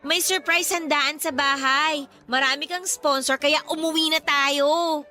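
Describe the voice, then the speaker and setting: A woman's voice: high-pitched, steady tone, unhurried, bright, forceful tone, soft background music. A woman acting a playful, chatty younger sister with a teasing, bubbly manner in a radio drama.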